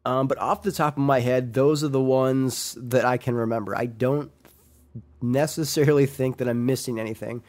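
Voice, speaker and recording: A man's voice: mid-range voice, steady tone, normal speed, darkish tone. Congested man rambling casually. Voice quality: slightly nasal. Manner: rambling, with frequent ums and restarts. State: congested from a sinus infection. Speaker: podcast host. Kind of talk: casual solo commentary.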